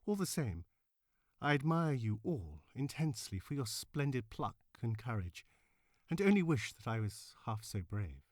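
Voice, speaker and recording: A man's voice: medium pitch, very animated, normal speed, a little mellow, no echo, clean studio sound. A man voicing a polite, law-abiding suffrage sympathiser, earnest and emphatic, as in a letter read aloud. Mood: earnest, admiring.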